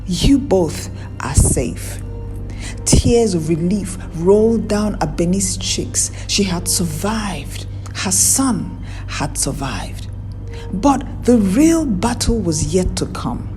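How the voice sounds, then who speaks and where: A woman narrating a scripted folktale in a measured, dramatic storytelling manner. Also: mid-range voice, lively intonation, music in the background.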